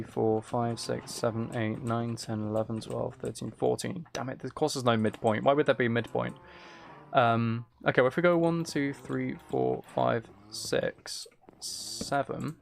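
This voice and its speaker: Gravelly-voiced man chatting on a game stream. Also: medium pitch, a little hiss or hum. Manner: muttering to himself while playing, repeats phrases. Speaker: livestream host. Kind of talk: casual game stream chat, reading and answering viewer messages.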